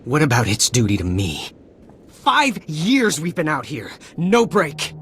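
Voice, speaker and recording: A man's voice: on the high side, wildly swooping pitch, a little slow, fairly bright tone, slight background noise, full character voice. An actor playing a worn-out soldier who has deserted, stammering and nervous as he talks. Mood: bitter. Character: weary young male deserter soldier, nervous. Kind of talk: video game cutscene.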